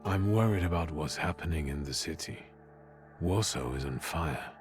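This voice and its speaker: Very deep-voiced, brooding thaumaturge man. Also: expressive, moderate pace, music in the background. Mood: somber, guarded. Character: brooding, reserved male thaumaturge in early 1900s Warsaw. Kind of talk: video game cutscene acting.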